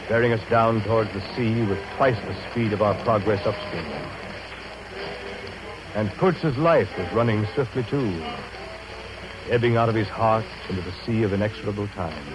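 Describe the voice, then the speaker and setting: An actor playing a grave, reflective riverboat captain, narrating in solemn, literary phrases for a 1930s radio drama. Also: male voice, fairly low pitch, natural voice, poor audio. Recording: old radio broadcast recording.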